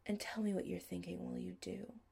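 A woman voicing a dominant, calm man who gives low, quiet, measured orders. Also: gravelly, breathy edge, heavily acted. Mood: calm, commanding.

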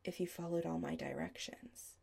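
A woman voicing a dominant, calm man who gives low, quiet, measured orders. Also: gravelly, husky, exaggerated voice. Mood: calm, commanding.

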